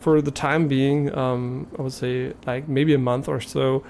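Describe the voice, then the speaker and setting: A young man from Germany chats to his stream in English with a clear German accent, his voice gravelly and sitting at an ordinary pitch. He talks loosely, hesitating, trailing off and restarting his sentences. A faint hiss of noise sits under the recording. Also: flat delivery, unhurried, a little mellow. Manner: rambling, hesitant, many fillers and restarts. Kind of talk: casual live stream chat.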